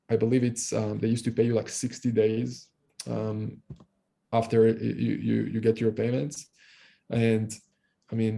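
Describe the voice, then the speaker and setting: A man in his thirties from Israel, a guest talking casually on a video call, fast and loose with many fillers and restarts. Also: lowish voice, creaky, warm tone. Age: 30s. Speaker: guest entrepreneur. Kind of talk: casual interview talk. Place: room at home.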